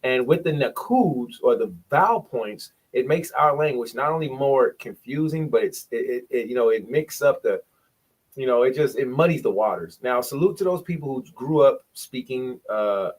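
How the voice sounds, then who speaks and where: A man's voice: mid-range voice, moderate pace, low-quality recording. A man presenting in a bible study, explaining points from a shared screen and sounding out syllables, often repeating himself.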